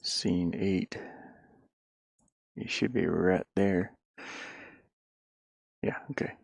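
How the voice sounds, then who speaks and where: A man thinking out loud in casual livestream talk, muttering to himself as he works on a game. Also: sits low, steady tone, very slow, creaky, husky, dry recording. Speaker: indie game developer streaming.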